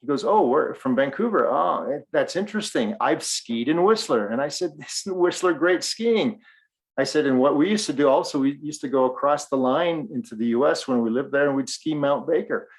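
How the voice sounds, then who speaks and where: Man, middle-aged, faint Western Canadian accent, podcast co-host, animated storytelling. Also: on the high side, normal speed, low-quality recording. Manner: animated storyteller, acts out dialogue. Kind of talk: casual podcast chat.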